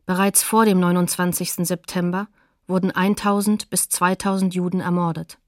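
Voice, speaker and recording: Sober female narrator, slightly low voice. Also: no echo, clean studio sound. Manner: sober, matter-of-fact. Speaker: narrator of a radio documentary drama. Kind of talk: scripted factual narration.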